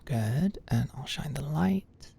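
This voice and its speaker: A woman playing a sweet, anxious young medical student in an ASMR roleplay, speaking gently and a little awkwardly close to the mic. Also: sits low, animated, vocal fry, breathy edge, full character voice. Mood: focused, gentle. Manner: gentle, halting, clinical instructions slipping into shy fluster. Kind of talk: ASMR roleplay, soft close conversational delivery. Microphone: close microphone, dry sound.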